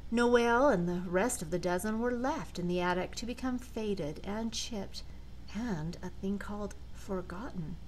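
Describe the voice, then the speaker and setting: An older woman, a grandmother, reading a children's storybook aloud with dramatic, deliberate phrasing. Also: mid-range voice, darkish tone, a little hiss or hum, poor audio. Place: large living room at home.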